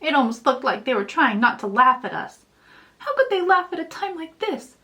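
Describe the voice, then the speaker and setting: A woman reading a children's story aloud in an expressive storytelling style. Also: high-pitched, moderate pace. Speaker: children's author reading own book aloud.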